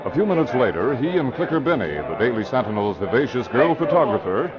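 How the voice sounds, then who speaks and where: A man's voice: mid-range voice, big pitch swings, fast, natural voice. A man narrating a scripted mystery in urgent 1940s radio style. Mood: dramatic. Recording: dull vintage radio recording with crowd.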